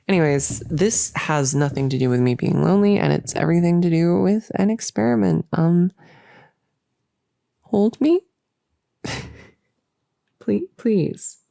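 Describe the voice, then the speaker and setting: A very mellow, slightly low female voice, close and very dry, acted by a woman as a nervous cultist in an intimate audio roleplay. She is lonely and gentle under a bossy front, halting and stammering as she rambles softly, with breathy edges. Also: no echo, full character voice. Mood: defensive, flustered, then timid, pleading. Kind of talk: intimate roleplay audio drama, sleep-aid ASMR. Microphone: close, dry microphone.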